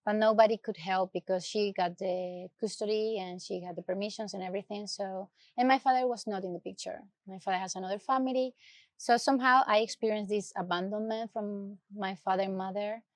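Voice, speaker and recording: Soft-spoken Ecuadorian woman, hesitant. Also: mid-range voice, fairly even intonation, brisk, no room sound. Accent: Ecuadorian Spanish. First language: Spanish. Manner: soft, hesitant, searching for words. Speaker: guest. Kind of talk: personal story in an interview.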